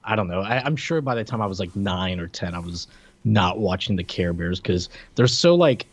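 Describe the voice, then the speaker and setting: A man's voice: sits low, lively intonation, normal speed. A man in his thirties or forties from Puerto Rico talks casually as a podcast co-host, wry and a bit hesitant. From Puerto Rico, later United States. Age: 30s to 40s. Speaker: podcast co-host and film reviewer. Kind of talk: casual unscripted film discussion.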